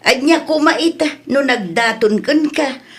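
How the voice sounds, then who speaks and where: A woman's voice: sits high, a little slow, fairly bright tone. A woman playing a plain-spoken, reproving village woman in a comedy audio drama, with a Filipino accent and a chiding manner.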